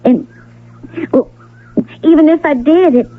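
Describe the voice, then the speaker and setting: An actress playing a wary, wistful young ranch woman in an old-time radio Western, plainspoken and husky, with a rustic Western American drawl. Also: high-pitched, unhurried. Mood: hesitant, downcast. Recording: phone-like old radio broadcast, faint music.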